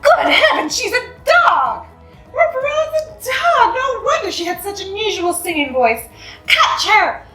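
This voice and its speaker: A woman voicing shocked, alarmed party guests in a storybook reading, shrill and loud. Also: sing-song, unhurried, exaggerated voice. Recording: echoey room with faint music.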